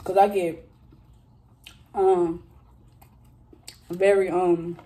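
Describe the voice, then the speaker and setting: A woman in her thirties chatting casually with her viewers during a mukbang eating video, talking straight to them with drawn-out exclamations between bites. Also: fairly low pitch, flat delivery, mellow, rough recording. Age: 30s. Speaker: vlogger.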